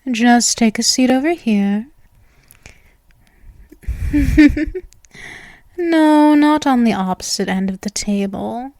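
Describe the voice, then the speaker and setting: Woman acting a slow, doting vampire girl, high-voiced. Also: animated, a little mellow, no room sound, clean studio sound. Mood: teasing, possessive.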